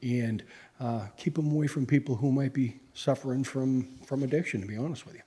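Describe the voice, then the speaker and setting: A man speaks unscripted in an interview from a very reverberant room. His voice is mid-pitched, somewhat dark and husky, and sounds distant. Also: a little fast.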